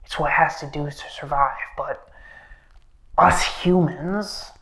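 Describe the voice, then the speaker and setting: A man playing a menacing, philosophizing horror villain in a monologue, halting and brooding, drifting between speech and breathy whispers. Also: fairly high pitch, steady tone, fairly bright tone, low-quality recording. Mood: ominous, bitter.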